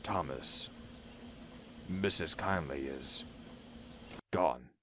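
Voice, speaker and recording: A man voicing a stern but compassionate male railway controller, grave and gentle, in a children's storybook. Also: lowish voice, lively intonation, moderate pace, slight background noise. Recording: music behind the voice.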